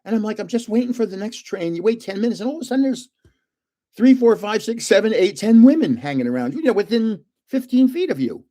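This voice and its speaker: A man's voice: sing-song, fast. A man in his seventies hosting a casual livestream, telling rambling anecdotes with frequent laughter. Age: 70s. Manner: self-described booming voice when yelling. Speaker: livestream host opening trading cards. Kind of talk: casual storytelling chat.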